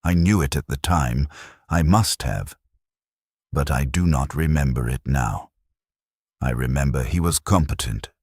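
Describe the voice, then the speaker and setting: A man voicing a very deep, stoic veteran gunner. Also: husky, no echo, clean studio sound, heavily acted. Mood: detached, grim. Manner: flat, precise, deliberate. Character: battle-worn male heavy gunner, flat, stoic, fatalistic. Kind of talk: character dialogue in military sci-fi story narration.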